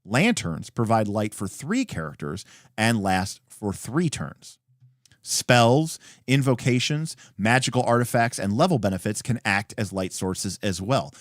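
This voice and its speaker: A man with a fairly low voice reads rules text aloud as a host. His intonation swings widely, with emphatic, deliberate phrasing and short explanatory asides. The sound is dry, with very little room in it. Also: no echo. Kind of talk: reading a game rulebook aloud with brief asides.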